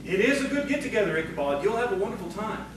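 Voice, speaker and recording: A man playing a calm, scholarly storyteller in audio theatre, speaking in a measured, knowing, cautioning manner. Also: sits high. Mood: friendly, cautioning. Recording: very echoey, distant, noisy room.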